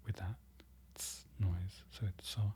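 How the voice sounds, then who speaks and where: A presenter whispering an ASMR-style lesson, slowly repeating foreign words and correcting themselves. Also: deep voice, fairly even intonation. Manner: whispering throughout, soft and close. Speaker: presenter learning Polish from a book.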